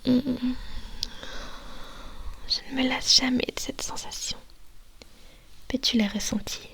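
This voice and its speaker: A woman's voice: sits high, steady tone, slow pace, a bit bright, heavily acted. A woman voicing a possessive, domineering female vampire mistress in an ASMR roleplay, mostly whispering, coaxing and commanding into a binaural microphone.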